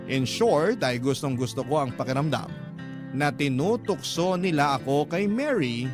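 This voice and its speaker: A man's voice: on the high side, expressive, unhurried. An actor playing a smitten office worker, narrating his love story earnestly and sentimentally in a Tagalog radio drama. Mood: fond, reflective. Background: acoustic guitar music.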